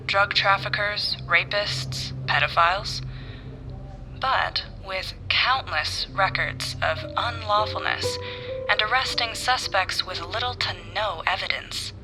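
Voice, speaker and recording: A woman's voice: medium pitch, close to an everyday voice. Actress as a hard-boiled, distrustful woman agent, music behind. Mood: cold, accusing. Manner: blunt, terse, confrontational. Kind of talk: audio drama acting with noir narration. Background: background music.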